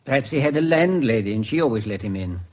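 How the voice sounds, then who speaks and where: Actor as husky, deferential British detective's assistant. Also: male voice, mid-range voice, lively intonation, fast talker, natural voice. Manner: hesitant, polite, eager to please. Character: deferential, squeamish younger male assistant to a detective. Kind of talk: radio drama acting.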